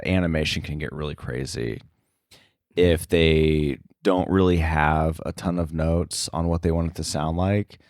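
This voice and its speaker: A man speaking as an interview guest in casual chat, halting and informal, thinking his way through each phrase. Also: rumbling low, dry recording, studio quality. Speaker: interview guest, sound editor.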